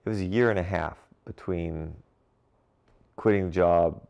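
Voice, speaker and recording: A man's voice: deep voice, a little slow, gravelly, darkish tone, muffled recording. A middle-aged man, interview guest, telling his story in an easygoing conversational way. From grew up in Cincinnati and Brussels. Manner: easygoing, uses fillers and restarts, occasional light laughter. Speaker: interview guest, brewery founder.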